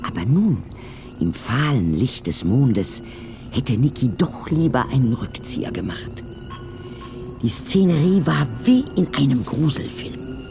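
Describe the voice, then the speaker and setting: A man's voice: medium pitch, wildly swooping pitch, a little slow, gravelly, over music. A man narrating a scripted audio drama in a suspenseful storytelling style.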